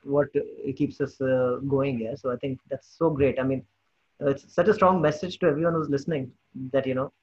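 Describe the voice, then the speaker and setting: A man's voice: medium pitch, steady tone, brisk, a little mellow, husky. A man hosting a casual interview, affirming and effusive toward his guests, on muffled audio. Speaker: podcast host.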